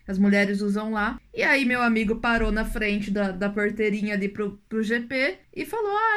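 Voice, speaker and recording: A Brazilian woman with a Brazilian accent, telling a lively travel anecdote in casual podcast chat and acting out the dialogue. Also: a little high. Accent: Brazilian Portuguese. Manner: lively animated storyteller, acts out dialogue.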